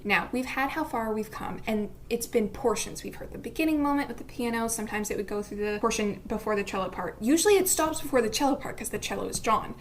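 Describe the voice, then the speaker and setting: A woman with a mid-pitched voice records a casual listener message, speaking emphatically like an engaged fan. It is an amateur recording with some faint noise behind her. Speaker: listener sending in a voice submission.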